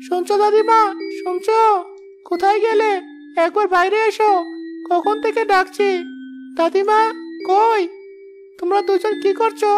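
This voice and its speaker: A performer voicing an eager, pleading little village boy in an animated moral story, earnest and coaxing. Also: very high voice, a little slow, pauses often, faint music behind. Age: child character.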